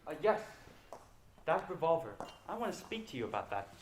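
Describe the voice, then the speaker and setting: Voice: mid-range voice, very animated, a little fast, reverberant, natural voice. Suspicious, jumpy detective as a student actor plays him, blunt, swinging intonation, faint noise, stage drama. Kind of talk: amateur stage play acting.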